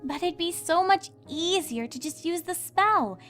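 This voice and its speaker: A woman's voice: very high-pitched, animated, unhurried, a bit bright, faint music behind, full character voice. A woman voicing a studious, reasonable young unicorn mare in a cartoon fan fiction reading, speaking politely. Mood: persuading.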